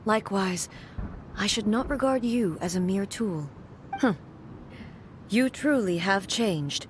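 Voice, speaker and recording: An actress playing a proud, stoic warrior goddess in a video game, speaking in formal, measured, grave lines. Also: female voice, medium pitch, lively intonation, slight background noise. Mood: solemn acceptance. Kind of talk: scripted video game drama. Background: background music.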